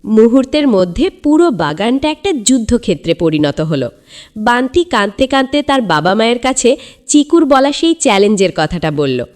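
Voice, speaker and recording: A woman tells a children's story in a high voice with very lively swings of pitch. Her scripted delivery is theatrical and builds suspense as a storyteller would. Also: normal speed.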